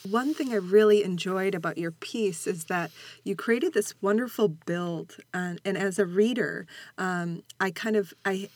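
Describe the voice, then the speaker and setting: A woman's voice: medium pitch, unhurried, darkish tone, no room sound. A woman hosting a conversational podcast interview in an encouraging, attentive manner.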